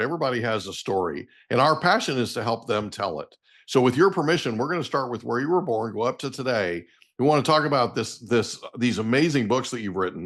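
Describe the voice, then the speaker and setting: A man's voice: medium pitch, a bit bright. A man hosting an interview podcast, welcoming his guest with friendly, affirming interjections. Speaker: podcast host. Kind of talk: interview and read ad.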